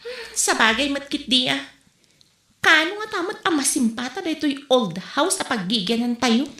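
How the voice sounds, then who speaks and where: A woman's voice: high voice, animated, moderate pace, pushed and bright. A woman with a Filipino accent playing a plain-spoken village housewife in conversational comedy drama dialogue. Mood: musing. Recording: echoey room recording.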